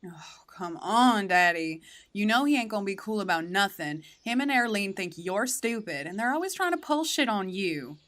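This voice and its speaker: A woman's voice: mid-range voice, expressive, normal speed, fairly bright tone, lightly acted. A woman playing a blunt, self-reliant backwoods daughter with a rural Southern US accent in a radio drama. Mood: exasperated.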